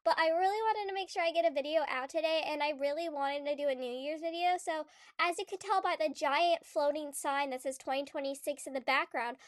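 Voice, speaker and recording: Young woman vlogger, high voice, stuffy with a cold, chatty with lots of fillers; dry close mic. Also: a little fast, dry recording. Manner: chatty and rambling, many fillers and restarts. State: congested from a cold. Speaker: gaming YouTuber. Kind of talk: casual vlog commentary.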